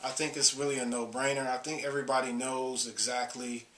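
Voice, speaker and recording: Bright-voiced man talking in an echoey room. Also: medium pitch, level tone. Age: 30s. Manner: halting, many fillers and restarts. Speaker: bodybuilder vlogger. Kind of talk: casual talk to camera.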